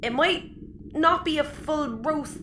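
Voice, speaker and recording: Bright, high-voiced, snippy crew member. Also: female voice, slow. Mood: reassuring. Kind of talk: sci-fi audio comedy acting. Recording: roomy with faint ambient noise.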